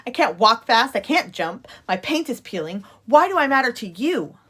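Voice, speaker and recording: A woman's voice: fairly high pitch, sing-song, normal speed, pushed and bright, slightly rough, pitched above the performer's own voice, full character voice. Storybook wooden puppet man, small, unsure of himself, pleading and hesitant played by a woman. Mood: disbelieving. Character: timid, self-doubting little wooden puppet man.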